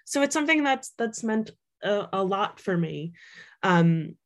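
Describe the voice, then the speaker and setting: A woman's voice: medium pitch, expressive, unhurried. A young adult woman, an author, talking casually in an interview, with lots of fillers, restarts and laughs. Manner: chatty, many fillers and restarts, laughs often. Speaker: author guest.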